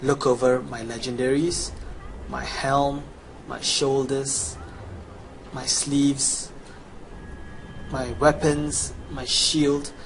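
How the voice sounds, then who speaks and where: Man, Chinese accent, young adult, boastful sales pitch, desk mic, faint background noise. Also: flat delivery, very slow, long pauses, rough recording. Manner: boastful, salesy, walks through gear stats. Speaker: gamer promoting a gold guide. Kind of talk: scripted promotional voice-over with gameplay.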